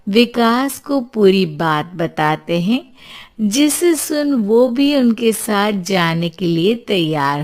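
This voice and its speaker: Very slow-paced woman storyteller. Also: medium pitch. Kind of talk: scripted story narration.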